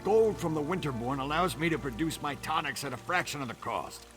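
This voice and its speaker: An actor playing a shifty orc tonic peddler in a video game, smooth-talking and blustering, self-righteous and quick to defend himself. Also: male voice, on the high side, animated, music in the background, lightly acted. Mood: indignant, self-justifying. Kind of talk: video game character acting.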